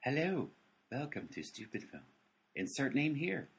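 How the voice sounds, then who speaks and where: A man voicing a glitchy, literal-minded male talking phone in a comedy radio play, reciting flat prompts and mishearing every command. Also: mid-range voice, measured pace, gravelly, breathy edge, full character voice. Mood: robotic.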